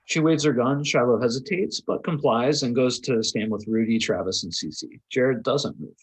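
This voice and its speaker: Male narrator for script directions, medium pitch, a little rasp, reading aloud. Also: moderate pace, low-quality recording. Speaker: reader of stage directions at a table read.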